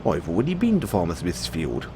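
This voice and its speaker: A man's voice: deep voice, huge pitch swings, fairly quick, background noise. A man voicing a clever, cheeky little storybook pig with a plucky, teasing manner.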